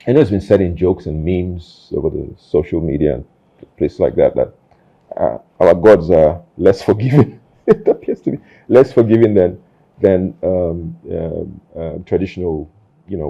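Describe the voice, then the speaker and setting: A man hosting an interview, speaking thoughtfully and haltingly, with many fillers and restarts. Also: deep voice, gravelly, mellow, breathy edge, highs cut off. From Nigeria. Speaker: podcast host. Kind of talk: reflective conversational interview.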